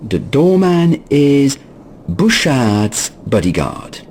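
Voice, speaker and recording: A man's voice: medium pitch, sing-song, drawn-out words, darkish tone, slight background noise. A man playing a timid, evasive herbalist in a video game, speaking hesitantly and with nervous politeness. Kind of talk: video game cutscene voice acting.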